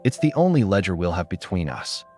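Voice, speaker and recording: A man's voice: sits low, very animated, a little fast, over music. A man voicing an earnest, thoughtful young woman bookbinder in a narrated historical story. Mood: tender.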